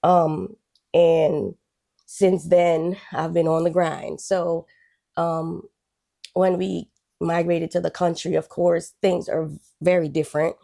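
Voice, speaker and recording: A woman in her forties from Guyana, a guest telling her life story in a relaxed, chatty way. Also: on the low side, takes it slowly, gravelly. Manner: relaxed, chatty, with frequent "um" and "you know".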